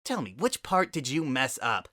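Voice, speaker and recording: A man's voice: medium pitch, very animated, normal speed, pushed and bright, dry recording, clean studio sound, voice pushed up. A man voicing an angry, sarcastic female pegasus pony, a bitter older sister, in a dramatic story reading. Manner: biting, sarcastic, confrontational.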